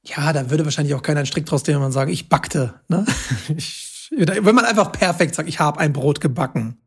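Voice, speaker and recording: A language nerd at a podcast table, a higher-voiced man with some huskiness, chattily picking over fine points. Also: fairly quick, breathy edge. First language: German. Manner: self-confessed language nerd, pedantic yet chatty. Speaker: podcast host explaining grammar. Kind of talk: casual explanatory talk.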